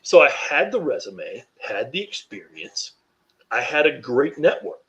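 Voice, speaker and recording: A deep-voiced man from North Carolina, a podcast guest speaking candidly and reflectively, with frequent "you know" fillers. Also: sing-song, unhurried, gravelly, a bit bright, highs cut off. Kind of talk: candid interview conversation.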